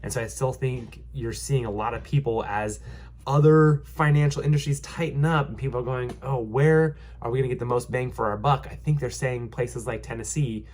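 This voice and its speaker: A young man, nasal and stuffy from a head cold, talks through local housing numbers in a loose, rambling way. He fills gaps with ums and restarts, keeping an upbeat, reassuring tone at an ordinary, mid-range pitch. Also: normal speed, a little mellow. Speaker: realtor giving a market update. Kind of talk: casual vlog market commentary.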